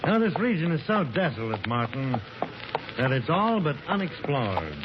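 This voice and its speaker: An actor playing an enthusiastic archaeology professor and explorer, talking in a lively, persuasive, lecturing way in a 1940s radio drama. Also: male voice, on the high side, moderate pace. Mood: eager. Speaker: character in a vintage radio drama. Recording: muffled, hissy vintage radio recording.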